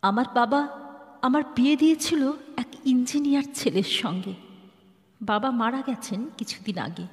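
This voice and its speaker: A woman playing a despairing, proud and defensive married woman in a Bengali audio drama, with a Bengali accent and a wounded, halting, dramatic manner. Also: on the high side, a little mellow, natural voice. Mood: sad, subdued. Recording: reverberant hall-like echo.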